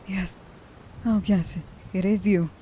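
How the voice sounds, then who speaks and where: A woman playing a tender, emotional young huntress in an ASMR roleplay, speaking softly and breathily and often dropping toward a whisper. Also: mid-range voice, steady tone, measured pace, slight background noise, natural voice, rough recording. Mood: amazed, joyful. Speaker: voice actor.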